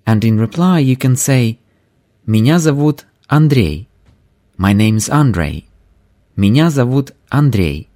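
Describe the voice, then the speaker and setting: Slow, lively-voiced male language teacher. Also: medium pitch. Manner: slow, clear repetition of phrases for learners. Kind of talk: scripted language lesson narration.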